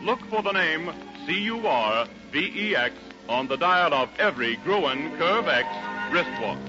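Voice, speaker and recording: A man's voice: on the high side, animated, a little slow. A man reading a scripted advertisement as a 1930s radio commercial announcer. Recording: dull 1930s radio transcription recording. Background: music.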